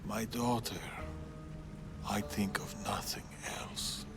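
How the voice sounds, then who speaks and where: An actor playing a grave, determined older Persian assassin and father in a dramatic video game scene, speaking solemnly and deliberately. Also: on the low side, fairly even intonation, over music. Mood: grieving.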